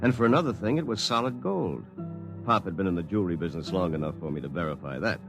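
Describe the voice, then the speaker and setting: A man's voice: low voice, animated, fast. An actor playing a self-satisfied lawyer telling his own story, confiding and wry, as 1950s radio drama narration. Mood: wry recounting. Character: self-satisfied, successful male attorney recounting his story. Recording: 1950s radio broadcast, music behind.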